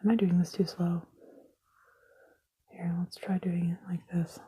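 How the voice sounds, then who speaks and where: A woman whispers in an extremely breathy, airy voice with a very dark, mellow tone, in the manner of an ASMR video. Her delivery is dry and sarcastic, full of self-mocking asides. She is recorded indoors at close range on a camera mic. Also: lowish voice, little pitch movement, unhurried. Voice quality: soft unvoiced whisper. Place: indoors at a table by candlelight.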